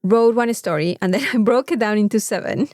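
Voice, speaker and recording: A woman with a Mexican accent telling an anecdote in a casual, animated podcast chat, swearing freely. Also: fairly high pitch, fairly quick, dry recording, clean studio sound. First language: Spanish. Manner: animated storytelling with frequent swearing. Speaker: podcast co-host.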